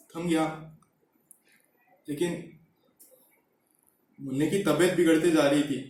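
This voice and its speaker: An actor playing a grief-stricken village father in a dramatic monologue, pleading and halting, often repeating himself. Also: sits high, distant mic. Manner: halting, repetitive, emotional delivery with pleading address. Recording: very echoey, reverberant room.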